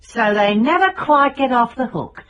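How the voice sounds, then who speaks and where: A woman's voice: on the high side, lively intonation, measured pace, fairly bright tone, highs cut off. An actress playing a dry, probing middle-aged British woman investigator in a radio drama, with a wry, understated way of questioning. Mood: cool, probing.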